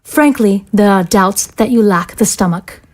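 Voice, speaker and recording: A cold, smug female scientist in command, played by an actress in an audio drama. Her voice sits at a middling pitch, and her wording is formal, measured and sardonic. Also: darkish tone, close to an everyday voice. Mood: coolly accusing.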